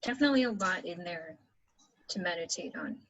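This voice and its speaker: A woman with a husky voice, chatting casually as a co-host. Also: fairly low pitch, animated, fairly quick, fairly bright tone, muffled recording. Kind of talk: casual chat.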